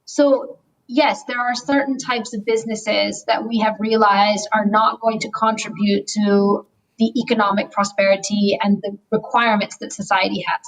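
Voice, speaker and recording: A woman banker answering webinar interview questions in a measured, explanatory way with frequent pauses and fillers. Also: mid-range voice, flat delivery, poor audio. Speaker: banker on sustainability.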